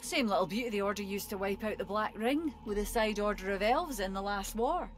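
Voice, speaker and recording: A woman's voice: medium pitch, lively intonation, fairly quick, close to an everyday voice. A woman voicing a defensive, nervous female tinkerer as she reads game dialogue aloud in a co-op playthrough. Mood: guarded.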